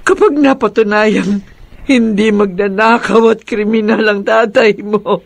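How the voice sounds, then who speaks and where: A woman's voice: medium pitch, fairly even intonation, a little slow, gravelly, no echo. A woman playing a sickly, grieving mother in a radio drama, sorrowful and weary but stubborn.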